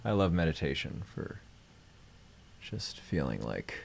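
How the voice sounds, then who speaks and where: A man hosting a live stream, guiding meditation and chatting casually in a gentle, unhurried, reflective way. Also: extremely low pitch, big pitch swings, gravelly, a little hiss or hum.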